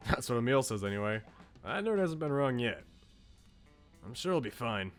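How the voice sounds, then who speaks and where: A man's voice: mid-range voice, big pitch swings, moderate pace, soft background music, close to an everyday voice. Man reading as a brash, slangy teenage slacker. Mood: reassured. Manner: slangy, blunt, casual. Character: brash eighteen-year-old male slacker, insecure but loyal. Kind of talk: visual novel character read aloud.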